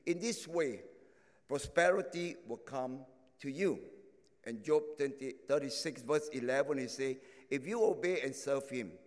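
Man, preacher, mid-range pitch, halting restarts, non-native phrasing, long hall echo. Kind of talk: sermon with testimony. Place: large echoing hall. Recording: echoing hall.

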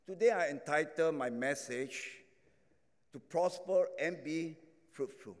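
A man preaching a sermon and sharing his testimony, in non-native English phrasing with halting restarts. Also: a little high. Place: large echoing hall. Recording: echoing hall.

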